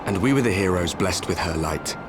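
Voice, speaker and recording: Clear music plays under a low voice: a grim, world-weary warrior in a fantasy game. He speaks solemnly, with deliberate, measured weight, as one from a doomed world. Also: lively intonation. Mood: solemn, grave. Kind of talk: dramatic video game cutscene acting.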